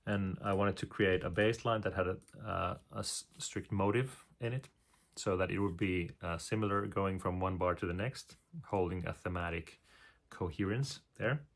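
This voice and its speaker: A man's voice: low-pitched, normal speed. A man, a composer explaining his process with many fillers, now and then humming melody lines. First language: Finnish.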